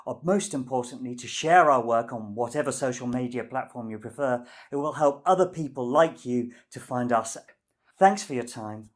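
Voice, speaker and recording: A man speaking as director and presenter, delivering a scripted outro announcement. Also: mid-range voice.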